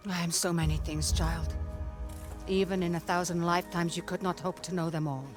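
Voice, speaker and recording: An actress playing a stern, weary, ancient woman mentor who speaks in measured, formal phrases in a dramatic game cutscene. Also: fairly low pitch, steady tone, close to an everyday voice. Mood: grave, resolute. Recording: music under the voice.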